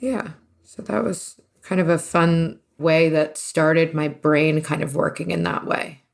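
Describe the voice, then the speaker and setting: A woman's voice: low-pitched, steady tone, a little slow, creaky. A woman from the US East Coast chatting casually as an interview guest, talkative and self-deprecating. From US East Coast, New Jersey and New York. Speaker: interview guest, renovation business partner.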